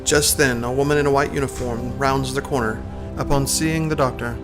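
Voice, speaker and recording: A man narrates an audio drama from a script. His voice sits at a medium pitch, and music plays clearly underneath it. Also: fairly even intonation, a little fast.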